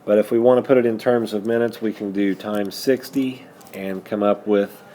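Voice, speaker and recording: A man's voice: on the low side, steady tone, moderate pace, dark tone. A man working methodically through a math lesson as a teacher, patiently prompting students with questions. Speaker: high school algebra teacher.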